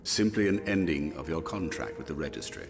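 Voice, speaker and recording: A commanding fantasy-game official with an extremely low voice that swings widely in pitch. He is stern, shrewd and brooding, picking his words with care over a music backing. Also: sing-song, a little fast, vocal fry, full character voice. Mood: cold, formal. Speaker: voice actor. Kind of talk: dramatic video game voice acting. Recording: music behind the voice.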